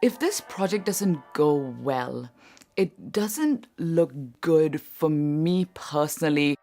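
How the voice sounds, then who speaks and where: A woman's voice: sits low, expressive, slow pace, dark tone, faint music behind. A woman narrating a documentary and informally leading a group as its presenter. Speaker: youth programme leader and presenter.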